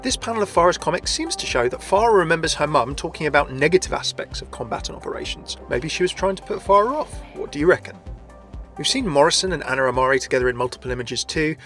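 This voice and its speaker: A man's voice: on the high side, animated, fast talker, a bit bright, soft background music. A man hosting a scripted game lore video, chatty and often addressing his viewers directly.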